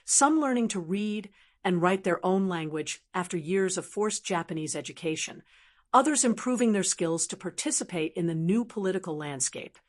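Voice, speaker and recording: Measured woman audiobook narrator. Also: mid-range voice. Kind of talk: scripted narration.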